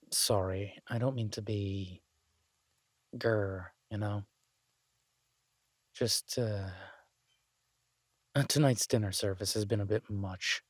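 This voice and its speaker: A man playing an exasperated restaurant chef venting to his partner in a halting, grumbling audio roleplay. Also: lowish voice, no echo, studio quality. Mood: weary, wry. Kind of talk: boyfriend audio roleplay, conversational monologue.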